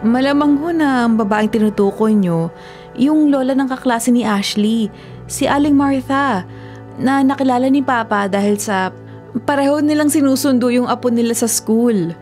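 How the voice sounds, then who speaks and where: An actress playing a worried, respectful grown daughter in a Filipino radio drama, speaking politely and hesitantly. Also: female voice, on the high side, normal speed, darkish tone, faint music behind, lightly acted.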